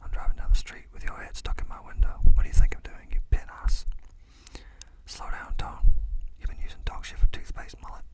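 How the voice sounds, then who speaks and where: A person performs rough film dialogue entirely in a breathy, unvoiced whisper, hopping from one character to the next. The voice stays hushed and close throughout. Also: brisk. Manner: whispering throughout, swapping between many characters. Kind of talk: whispered film-scene impressions.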